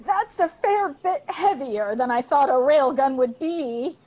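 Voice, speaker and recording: A woman's voice: high voice, expressive, unhurried, warm tone, highs cut off. A teenage girl playing an anxious, matey railway co-worker in a school radio play. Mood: matey, wary. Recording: clearly noisy background ambience.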